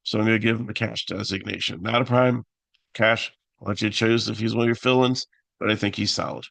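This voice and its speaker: Raspy low-voiced man, flat and gravelly. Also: dry recording. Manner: halting, many fillers and restarts. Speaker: fantasy sports analyst host. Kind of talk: casual solo picks talk.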